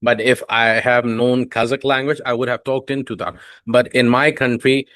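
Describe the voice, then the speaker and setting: A man's voice: medium pitch, flat delivery, moderate pace, fairly bright tone, dry recording. Urdu-speaking man hosting, dry sound. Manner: rambling questions with many fillers. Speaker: podcast host. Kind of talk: podcast interview.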